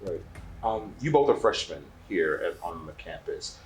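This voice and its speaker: A low-pitched man conducts a casual interview. He feels his way into questions, repeating words as he goes. There is light noise behind him. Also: big pitch swings, rough recording. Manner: halting, repeats words while forming questions.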